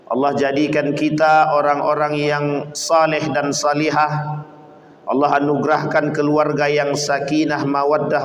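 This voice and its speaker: A man's voice: a little high, reverberant, big-room sound. A man giving an impassioned Islamic sermon at a dawn gathering. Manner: impassioned preaching, then measured chanted Arabic prayers. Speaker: Islamic preacher.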